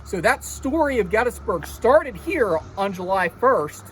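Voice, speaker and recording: A man's voice: high voice, very animated, a little slow, fairly bright tone, low-quality recording. A man working as a battlefield historian, giving an unscripted outdoor tour talk and correcting himself as he goes. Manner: unscripted, self-correcting explanation. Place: outdoors by a road, beside an old inn. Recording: outdoor road noise.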